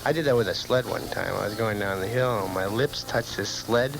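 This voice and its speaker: A man's voice: fairly low pitch, a little fast, creaky, poor audio. Guy doing a drunk goofy young dude, rambling and bragging, comedy bit, music behind him. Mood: rambling, sincere. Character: tipsy goofy young beer-chugging guy bragging about stunts.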